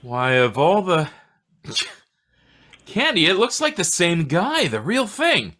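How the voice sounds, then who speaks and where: A man's voice: high-pitched, wildly swooping pitch, slow, sharp tone, heavily acted. A man playing an easygoing homicide police inspector in an old-time radio detective drama, wry and matter-of-fact. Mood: amazed.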